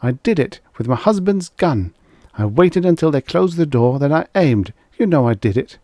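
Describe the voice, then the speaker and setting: A man's voice: a little high, very animated, moderate pace, mellow, no echo, studio quality, pitched above the performer's own voice. A man voicing a desperate, insistent married woman in an audiobook story, pleading and urgent. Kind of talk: audiobook character voice.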